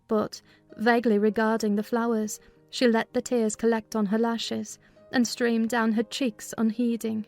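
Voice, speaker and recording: A woman's voice: fairly high pitch, darkish tone. A woman reading scripted audiobook narration of a classic novel.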